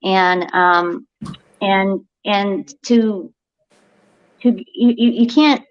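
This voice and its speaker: A woman health coach talking eagerly as a guest, tripping over her words and restarting. Her audio comes through dull and muffled. She speaks at a middling pitch. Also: drawn-out words. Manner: animated, restarts her sentences often. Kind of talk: casual health talk show chat.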